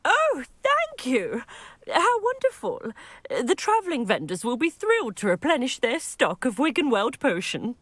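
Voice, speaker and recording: Gentle widowed villager, high voice, animated intonation, polite, wistful, dry recording, game character. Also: female voice, measured pace, dry recording, full character voice. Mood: delighted, grateful. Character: kindly widowed village potion-maker, grateful and wistful. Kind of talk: video game dialogue acting.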